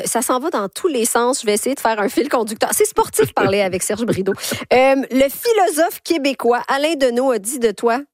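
A woman interviewer asking questions, with quick acknowledgements as she listens. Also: on the high side, darkish tone, no echo, studio quality.

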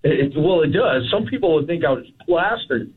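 Man, radio caller, stammering, gushing, husky, thin phone sound. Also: fairly high pitch, animated, normal speed. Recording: tinny phone line.

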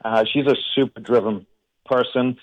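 Man with a flat, steady voice. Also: medium pitch, normal speed, highs cut off. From England. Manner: grateful, earnest, flowing storytelling. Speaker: basketball coach guest. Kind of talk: radio interview answers.

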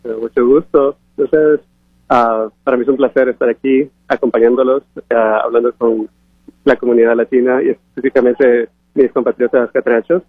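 Hombre hondureño de voz ronca, línea telefónica. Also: male voice, medium pitch, steady tone, moderate pace, husky, no room sound. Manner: hesitant, searching for words. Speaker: interviewed master's student. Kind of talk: unscripted radio interview answer. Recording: phone-like radio broadcast sound.

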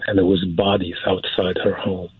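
A man's voice: low voice, level tone, moderate pace, breathy edge, dry recording. A man from Syria, a film producer speaking as an interview guest, hesitant and repeating words for emphasis. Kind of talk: casual interview talk. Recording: dry, phone-like narrow band.

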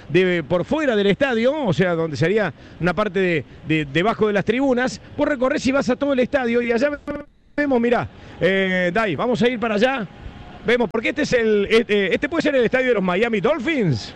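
A man with an Argentine accent reporting live from outdoors at a stadium, chatty and rambling, full of fillers and restarts. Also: very high voice. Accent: Argentine Rioplatense. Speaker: field reporter. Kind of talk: excited live walkabout reporting.

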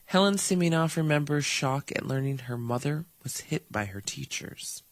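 A man reading scripted documentary narration in a measured, even way. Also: on the high side, no echo.

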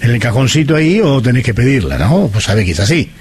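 A man's voice: medium pitch, very animated, fast talker, a little mellow, husky. A man with an Argentine accent hosting a show in casual, joking chat. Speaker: radio or TV show host.

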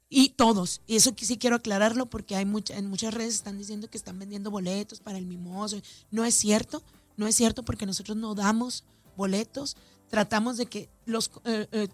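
A woman with a northern Mexican accent speaking as an event organizer in a conversational interview, talkative and emphatic, repeating phrases for stress. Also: on the high side. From Ciudad Juárez, Mexico. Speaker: festival organizer being interviewed. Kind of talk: conversational radio or media interview.

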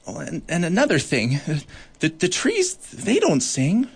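A man voicing a small, shy and desperate bark beetle that speaks timidly and haltingly. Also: fairly high pitch, wildly swooping pitch, gravelly, husky, voice pushed up, exaggerated voice.

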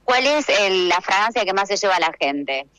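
A woman's voice: medium pitch, expressive, moderate pace, a bit bright, dry recording, rough recording. An Argentine woman roving radio reporter, chatting effusively and informally as she interviews people live at a craft fair.

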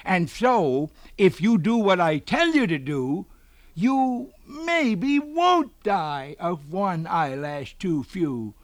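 A small, crafty storybook rabbit, pitched far above a normal male voice. The delivery is theatrical and drawn-out, with lively swoops as it persuades. It sounds close and dry over soft music. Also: no echo, heavily acted. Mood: sly, reassuring. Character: small sly rabbit, quick-witted, mock-concerned trickster. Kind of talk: children's storybook character reading.

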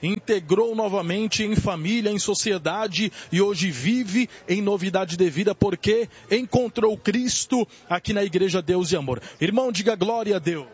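A man with a Brazilian accent and a husky voice, reporting live for evangelical radio in a declamatory way. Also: sits high, normal speed, muffled recording. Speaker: church radio reporter and elder.

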